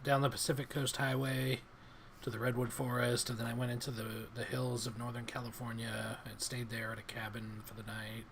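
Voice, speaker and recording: A man's voice: mid-range voice, completely flat delivery, fairly quick, creaky, a little hiss or hum. A man co-hosting a casual podcast, telling a story in a laid-back way and laughing often. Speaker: podcast co-host. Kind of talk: casual chat.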